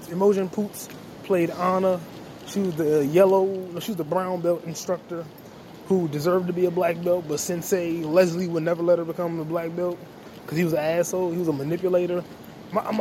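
Young man, slightly high voice, loose profane rambling, walking outdoors, faint street hiss. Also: dark tone, rough recording. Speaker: podcast host. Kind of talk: rambling solo podcast while walking.

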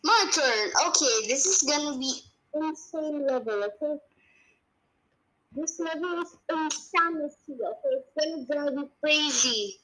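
A child with a high-pitched voice, co-hosting a podcast. The talk is casual game chat, delivered at a slow pace. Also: pushed and bright, echoey room, a little hiss or hum, poor audio. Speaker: podcast co-host.

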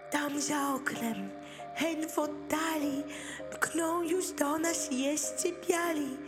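A woman acts out a frightened dog seeress in a radio play, high and slow. She draws out her rhymes like incantations, full of dread, with music underneath. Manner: incantatory, drawn-out rhymed declaiming. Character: frightened female household dog in a prophetic trance. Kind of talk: rhymed audio drama acting. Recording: music behind the voice.

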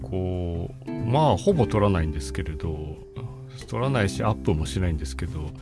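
A man's voice: deep voice, animated, brisk. A middle-aged man chatting casually and reflectively as a podcast guest. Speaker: guest, band saxophone and flute player. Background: guitar music.

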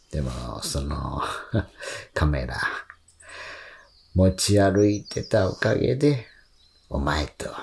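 A man playing a tender, grieving elderly husband in a radio drama, speaking slowly and haltingly with sentiment. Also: extremely low pitch, creaky, full character voice. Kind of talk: radio drama acting and narration.

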